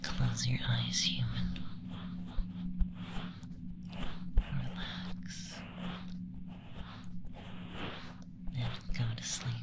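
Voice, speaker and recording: A performer voicing an aloof, teasing alien femboy interrogator in a slow, deliberate, soft ASMR whisper. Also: medium pitch, creaky, poor audio. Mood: calm, soothing.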